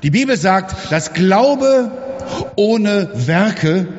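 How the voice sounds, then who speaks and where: A man's voice: sits high, animated, extremely slow, slight background noise. A man interpreting a sermon live, with hesitant restarts as he paraphrases each line. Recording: muffled, echoey hall.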